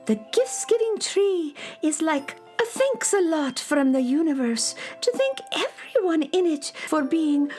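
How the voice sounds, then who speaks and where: A woman playing a kindly, chirpy female forest pixie in a children's radio drama, explaining things in a gentle, storybook lilt. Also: high-pitched, sing-song, measured pace, few pauses, soft background music. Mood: wondering.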